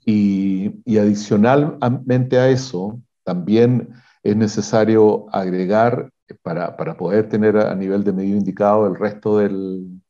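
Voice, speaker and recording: A man, a mining exploration executive, giving measured technical answers in an interview, pausing often with fillers and restarts. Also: on the low side, steady tone, dark tone, highs cut off. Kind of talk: interview answers on a video call.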